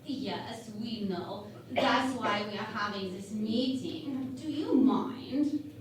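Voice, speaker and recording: Woman playing a slow-talking officious starship doctor. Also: on the high side. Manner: deliberate lecturing delivery. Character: officious female starship doctor with a salacious streak. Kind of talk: parody sci-fi radio play acting. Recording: very echoey room, distant mic, noise.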